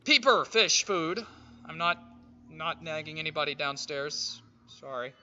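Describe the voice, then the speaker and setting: A streamer giving loud, animated commentary while playing a game at home. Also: medium pitch, unhurried, dull audio. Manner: animated, sudden excited outbursts. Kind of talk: casual gameplay commentary. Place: indoors at home.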